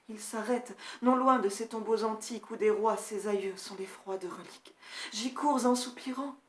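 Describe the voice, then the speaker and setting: Slow, grieving tragic messenger acted by a woman. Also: mid-range voice, echoey room. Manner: solemn, measured, swinging between hushed and forceful. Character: grief-stricken male tutor recounting his prince's horrific death. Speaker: performer reading a classical tragedy monologue. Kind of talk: dramatic classical French verse recitation.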